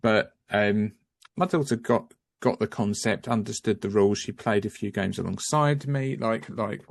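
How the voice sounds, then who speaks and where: Gravelly rambling man on a call. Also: fairly low pitch, moderate pace, no echo. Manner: rambling, self-interrupting, apologetic. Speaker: guest, home-educating parent. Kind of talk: casual video call chat.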